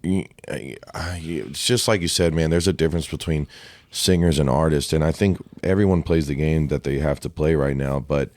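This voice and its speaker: A man from Ohio with a deep voice, a guest singer-songwriter talking casually about his craft, relaxed and chatty with lots of "dude" and "right?". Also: normal speed, hardly any pauses, no room sound. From Ohio, USA. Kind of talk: casual podcast conversation.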